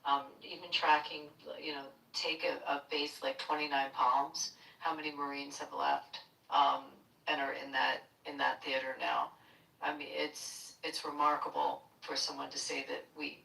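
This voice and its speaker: A woman speaking as a guest in a casual political discussion, measured and pausing mid-thought. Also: low voice, level tone, gravelly, bright, forceful tone. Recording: echoey, distant online audio.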